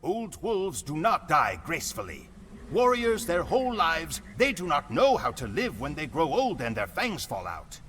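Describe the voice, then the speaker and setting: A man's voice: fairly high pitch, wildly swooping pitch, normal speed, fairly bright tone. An actor playing a solemn, proud Mongol warrior who narrates his people's conquests in a grave, theatrical manner for a game cutscene. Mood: solemn, awed. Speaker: campaign narrator. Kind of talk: dramatic scripted cutscene narration. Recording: music behind the voice. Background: music.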